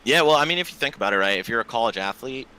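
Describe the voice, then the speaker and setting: A man's voice: medium pitch, animated, very fast, bright, forceful tone, clean tone. A man in his twenties, a podcast guest chatting casually and talkatively, with loose filler words and the odd swear. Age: 20s. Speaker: podcast guest, esports tournament organizer.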